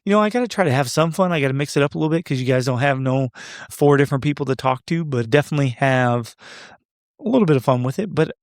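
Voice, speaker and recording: A man's voice: mid-range voice, expressive, fast, no echo, clean studio sound. A man in his thirties hosting a solo podcast, chatting casually and joking back to live comments. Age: 30s. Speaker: podcast host.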